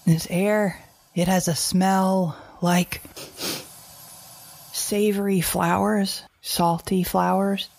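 A woman's voice: mid-range voice, little pitch movement, extremely slow, vocal fry, darkish tone, heavily acted. An actress playing an anxious but defiant mother surviving a wild world in an audio drama monologue, fretful and questioning herself in broken phrases. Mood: angry, shaken. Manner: fretful, self-questioning, fragmented, repeating herself. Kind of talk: audio drama monologue, recorded-journal narration.

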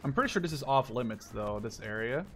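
A man in his twenties from the Netherlands, chatting casually while playing a shooter, swearing and yelling when things go well or badly. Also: medium pitch, fast, slight background noise. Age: 20s. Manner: excitable, swears and shouts at big moments. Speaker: gaming YouTuber. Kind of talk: casual gameplay chat. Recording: faint game gunfire behind.

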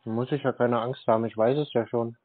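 A man with a mid-pitched voice answers in a curt, dry, skeptical way. He sounds like he is over a tinny phone line. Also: fairly even intonation, fast, husky. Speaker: scam call recipient. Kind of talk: phone call.

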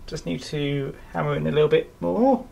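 A man with a croaky voice from a sore throat, chatting casually and self-deprecatingly with many ums while he works in a small room. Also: fairly high pitch, normal speed. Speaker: model railway hobbyist vlogger. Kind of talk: casual narration while working. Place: indoors in a small room, bedroom layout. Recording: noisy home camera recording.

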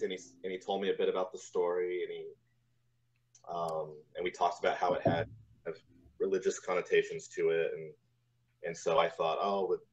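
A deep-voiced man in his forties talks casually as an interview guest. He recounts things in a halting, easygoing conversational way. The sound is slightly dull, like a video call from a room at home. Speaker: guest, composer.

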